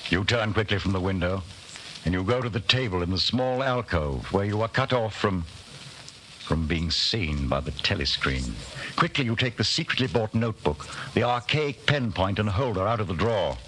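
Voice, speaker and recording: Low, ominous radio narrator. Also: male voice, lively intonation, a bit bright. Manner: dramatic, deliberate, foreboding. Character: ominous second-person narrator of a dystopian tale. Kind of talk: scripted narration, 1940s radio drama. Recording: hissy, noisy 1949 radio recording.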